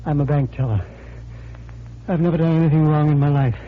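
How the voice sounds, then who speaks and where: A man's voice: a little high, brisk, slight background noise, lightly acted. An actor playing a tormented bank teller afraid for his sanity, distraught and faltering, in 1940s radio style. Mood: troubled, confiding. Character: tormented, desperate male bank teller fearing madness. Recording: thin, lo-fi 1940s radio recording.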